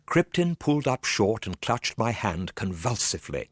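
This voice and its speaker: A male storyteller with a low, slightly rough voice reads a comic ghost story. His delivery is theatrical and highly varied in pitch, with careful old-fashioned diction, recorded close and dry. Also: very animated, normal speed, no room sound. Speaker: storyteller, actor. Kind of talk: dramatic first-person narration of a comic ghost story.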